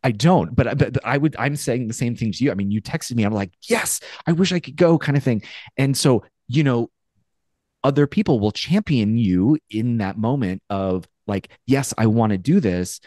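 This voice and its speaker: A man in his forties co-hosting a podcast over a video call, telling a story in a casual, animated way and acting out his own thoughts. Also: medium pitch, normal speed, dry recording, studio quality. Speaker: podcast co-host. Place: home studio with brick wall. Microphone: headphones and studio mic on a video call.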